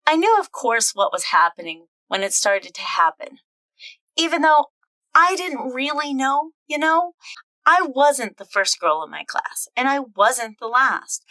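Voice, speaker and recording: Wry young heroine of a comic, played by a woman, higher-pitched, animated, confiding and self-deprecating. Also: unhurried, no room sound, exaggerated voice. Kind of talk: dramatic reading of comic excerpts.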